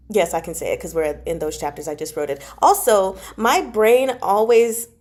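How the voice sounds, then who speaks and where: A woman chatting casually and informally to her livestream viewers, giggly and animated as she talks. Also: medium pitch, a little slow. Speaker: host of a book club channel and amateur audiobook narrator. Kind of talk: casual livestream chat, reading out and answering comments.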